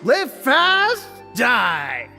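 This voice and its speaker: A tipsy, carefree game character, drawling slowly with big swoops in pitch. He is a chummy, effusive drinking buddy, heard with long reverb and music. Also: huge pitch swings, pushed and bright, full character voice. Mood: chummy, boisterous. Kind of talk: video game voice acting.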